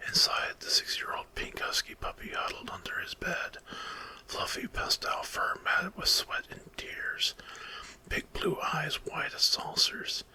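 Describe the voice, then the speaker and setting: Slow whispering man telling an ASMR story. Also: poor audio. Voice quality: breathy, unvoiced whisper. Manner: slow, dramatic, hushed reading.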